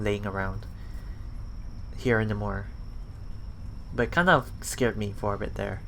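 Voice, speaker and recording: A man hosts a visual novel let's play, reading the text at an unhurried pace in a bright, forward voice. His delivery is halting, with self-corrections and murmured asides. Steady noise sits behind him. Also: medium pitch, rough recording. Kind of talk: reading a visual novel aloud with casual commentary.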